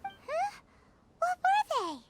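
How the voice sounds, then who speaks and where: A woman's voice: very animated, drawn-out words, very noisy, full character voice. A woman voicing a tiny, childlike, curious fairy companion in a video game. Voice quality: squeaky, childlike. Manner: refers to self in third person, inquisitive. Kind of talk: English video game dubbing. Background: game music.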